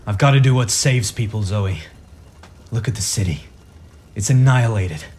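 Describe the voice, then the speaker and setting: A man's voice: fairly low pitch, moderate pace, a little mellow, natural voice. A man playing an earnest superhero and loving father in a radio play, with music under the voice. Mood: anguished, resolute.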